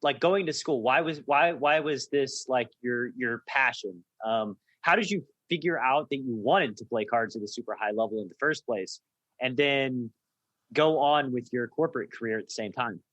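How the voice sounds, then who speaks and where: A man's voice: medium pitch, moderate pace, a bit bright, dry recording, muffled recording. Male podcast host, dry close recording, curious and persistent, casual interviewer. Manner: probing, keeps asking why. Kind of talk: conversational interview.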